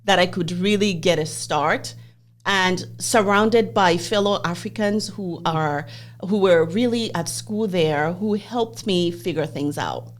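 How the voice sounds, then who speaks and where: A woman in her forties, originally from Zambia, hosts a podcast and talks through her own life story. Her voice sits a little low and her delivery is open and reflective. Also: slow pace. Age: 40s. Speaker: podcast host. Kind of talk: personal interview conversation.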